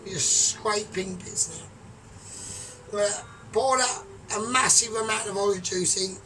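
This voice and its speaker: A man's voice: high-pitched, measured pace, a little hiss or hum. Rambling, sweary man cooking far from mic. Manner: softly spoken, often trailing off quiet. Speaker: home cook vlogger. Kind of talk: casual cooking vlog. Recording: distant mic with music behind.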